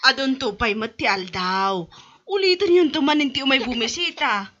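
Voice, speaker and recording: A woman's voice: high-pitched, animated, moderate pace. A woman acting a concerned, caring mother in an Ilocano radio drama. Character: concerned mother urging her daughter to come home. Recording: muffled recording with music behind. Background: music.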